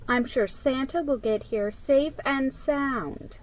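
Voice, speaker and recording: A gentle, reassuring storybook mother, read by a woman. She speaks very slowly in a fairly high voice. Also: rough recording.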